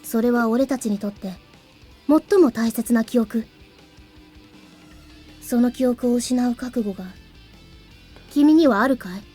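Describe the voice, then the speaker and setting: A woman voicing a mysterious young boy in a visual novel, speaking in a measured, portentous way. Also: fairly high pitch, dark tone, lightly acted. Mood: calm, solemn. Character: mysterious, solemn young boy offering power. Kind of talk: Japanese visual novel voice acting. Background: game music.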